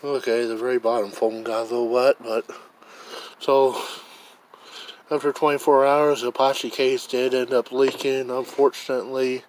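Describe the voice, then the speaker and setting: A man's voice: mid-range voice, flat delivery, moderate pace, rough recording. A man casually reviewing gear outdoors on a deck, talking in a rambling, plainspoken way as he films with a handheld camera. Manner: rambling, plainspoken, thinks aloud.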